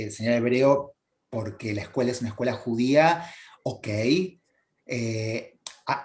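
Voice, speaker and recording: A middle-aged Argentine man with an Argentine Spanish accent, speaking as an interview guest. He explains in a lecturing way, full of halting fillers and restarts. His voice sits at a middle pitch and rings in a roomy echo over a video call. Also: darkish tone. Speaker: guest, language teaching expert.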